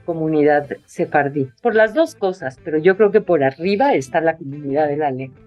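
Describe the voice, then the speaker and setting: A woman's voice: deep voice, lively intonation, fairly quick, a little mellow, dull audio, soft background music. A woman writer being interviewed over a video call, talking casually and hesitantly with many fillers and restarts. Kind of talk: casual conversation.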